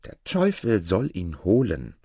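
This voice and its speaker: A man's voice: on the low side, wildly swooping pitch, measured pace, a little mellow, husky, no echo, clean studio sound, exaggerated voice. A man voicing an impulsive, questioning boy hero in a fairy tale, with an eager manner. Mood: annoyed.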